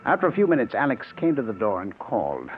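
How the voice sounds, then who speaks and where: Vengeful, sardonic husband, low and highly inflected, vintage radio thriller on a tinny recording. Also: male voice, wildly swooping pitch, quick pace, slight background noise. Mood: triumphant narration. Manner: sardonic, theatrically menacing. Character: scheming, vengeful husband feigning madness, coldly triumphant. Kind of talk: old-time radio mystery drama acting.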